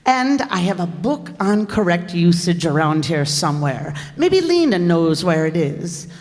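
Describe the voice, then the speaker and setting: A woman playing a patient wife who gently and fondly corrects her husband in an old-time radio comedy. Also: fairly low pitch, sing-song, measured pace. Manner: gently chiding, affectionate. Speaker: actor in radio comedy play. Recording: echoey hall, live stage performance.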